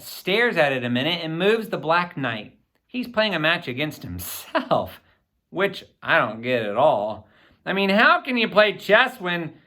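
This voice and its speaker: A man reading a children's story aloud in an expressive, boyish first-person narration. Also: a little high. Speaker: audiobook reader.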